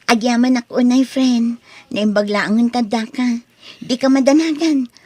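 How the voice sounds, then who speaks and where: A shy, soft-hearted young woman in an audio drama, played by a woman, speaking with an Ilocano accent. Her voice is fairly high yet husky, hesitant and meek, recorded dry and close. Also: normal speed, no room sound, close to an everyday voice. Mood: meek, grateful.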